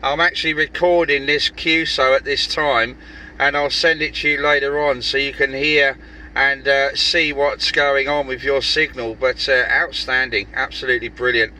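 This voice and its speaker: Man on ham radio, very sharp bright voice. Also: medium pitch, flat delivery, moderate pace, a little hiss or hum, low-quality recording. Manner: signs off turns with "over". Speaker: amateur radio operator. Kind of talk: casual amateur radio chat.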